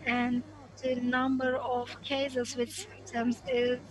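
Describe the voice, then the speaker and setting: A woman's voice: high-pitched, flat delivery, slow, crowd noise, low-quality recording. A woman giving live simultaneous interpretation of a conference talk, delivering it phrase by phrase with frequent self-corrections. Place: conference summit.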